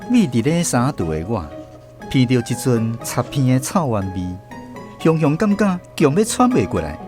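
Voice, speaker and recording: A man's voice: medium pitch, sing-song, moderate pace, music in the background. A man with a Taiwanese accent, narrating scripted radio stories expressively as host.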